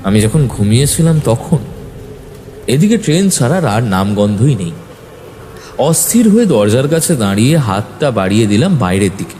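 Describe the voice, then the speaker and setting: Male narrator for audio horror: dark-toned, very lively intonation, expressive and suspenseful, slight background noise. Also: medium pitch, normal speed. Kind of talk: dramatic first-person horror storytelling.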